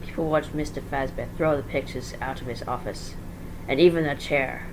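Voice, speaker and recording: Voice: mid-range voice, moderate pace. An amateur narrator giving a dramatic story reading, informal, stumbling over words and correcting along the way. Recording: faint background noise.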